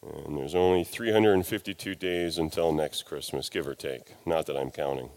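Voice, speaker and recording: A man's voice: very deep voice, moderate pace, darkish tone. A middle-aged male pastor with a head cold, preaching a sermon with folksy, self-deprecating humor and reading scripture aloud. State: congested from a cold and sinus infection.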